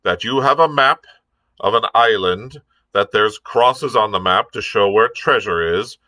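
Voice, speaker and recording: A man's voice: lowish voice, a little slow, bright, forceful tone, dry recording, pitched above the performer's own voice. A man reading a storybook, voicing a blunt, stern and prickly sea captain in an emphatic, clipped manner. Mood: insistent. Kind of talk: audiobook character reading.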